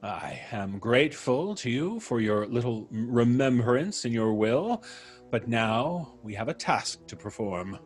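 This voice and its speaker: A man's voice: mid-range voice, lively intonation, a little slow, lightly acted. An actor playing a vengeful ex-convict blackmailer in old-time radio style, taunting and mock-polite as he threatens. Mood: gloating menace.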